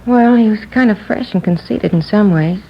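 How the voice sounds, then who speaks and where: An actress playing a chatty, distracted market counter clerk in a naturalistic 1950s radio drama, speaking casually and haltingly. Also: female voice, medium pitch, natural voice. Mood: casual, recalling. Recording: dull, faintly noisy old radio recording.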